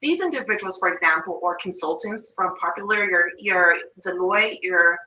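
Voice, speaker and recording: Bright-voiced Jamaican woman presenting a workshop. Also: lowish voice, normal speed, echoey room, recorded from a distance, a little hiss or hum, rough recording. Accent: Jamaican, with Toronto and New York influence. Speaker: workshop presenter, finance manager.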